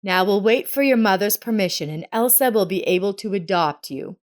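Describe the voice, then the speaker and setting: A woman's voice: mid-range voice, animated, fairly quick, lightly acted. A woman narrator reads a protective father in a family story. The delivery is reassuring and plain, close to an everyday reading voice.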